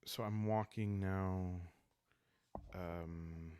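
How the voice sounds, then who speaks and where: A man hosting a solo roleplay game playthrough, calm and soft-spoken, improvising the story and thinking aloud as he goes. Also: low voice, very slow, clear, resonant tone, dry recording. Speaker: host playing a solo journaling roleplay game.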